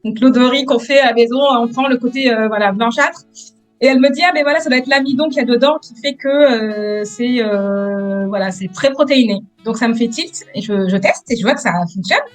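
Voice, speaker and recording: A woman's voice: fairly high pitch, faint music behind. Hesitant woman in her thirties. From France. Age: 30s. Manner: hesitant, many "euh" pauses, soft-spoken. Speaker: guest entrepreneur. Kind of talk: casual interview answers.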